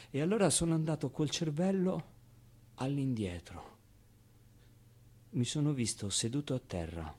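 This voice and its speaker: An actor playing a naive, foul-mouthed, wistful orphan boy in an expressive theatrical monologue, with hesitant repetitions and pauses. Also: mid-range voice, close to an everyday voice. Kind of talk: theatrical monologue, storytelling to an audience.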